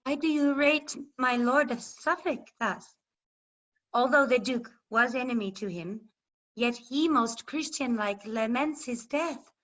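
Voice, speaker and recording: A woman's voice: high-pitched, unhurried, a bit bright. A woman playing a proud, passionate medieval queen in classical verse, recorded over a video call. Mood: feigned grief. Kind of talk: classical verse stage acting. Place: remote staged reading over video call. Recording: dull online video-call audio.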